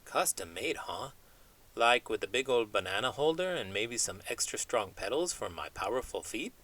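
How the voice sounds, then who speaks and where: A man's voice: mid-range voice, big pitch swings, moderate pace, a bit bright. A man voicing a folksy, slangy chimpanzee from Minnesota, a chatty big dreamer bantering in a children's read-aloud. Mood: curious, playful. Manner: folksy, drawling slangy grammar with "them" and "ain't".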